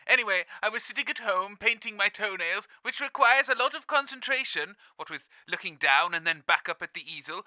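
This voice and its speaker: An eccentric caller on a comedy phone-in, acted by a man, with an extremely high, piercing voice. The delivery is earnest and rambling, recorded very dry. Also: pushed and bright, no room sound, heavily acted.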